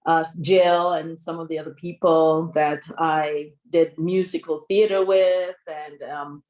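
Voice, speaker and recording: Unhurried woman from Montserrat, echoey room. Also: low-pitched, steady tone, darkish tone. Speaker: singer and songwriter guest. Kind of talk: self-introduction on a panel.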